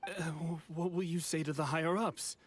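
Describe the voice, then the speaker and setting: A man's voice: on the high side, fairly even intonation, normal speed, breathy edge. A man voicing an earnest wanderer who has come back home, in a video game, speaking in a plain, reflective way. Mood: hesitant.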